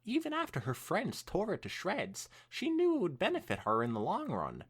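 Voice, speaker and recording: A podcast co-host narrates fan fiction. The delivery is highly animated in pitch, moving between storytelling and casual comment. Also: normal speed, husky.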